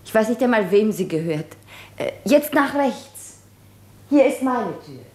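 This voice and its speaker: An actress in a 1950s German radio drama playing a tender, self-reliant young Russian woman, with a soft, restrained delivery. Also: on the high side, lively intonation, measured pace. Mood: guarded, practical.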